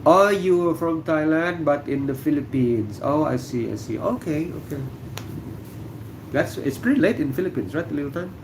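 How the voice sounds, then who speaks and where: Middle-aged man from Indonesia, gravelly voice, casual halting livestream chat, faint room hum behind. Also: fairly high pitch. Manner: relaxed, halting, many fillers. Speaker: livestream host. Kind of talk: casual livestream chat reading viewer comments. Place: room indoors.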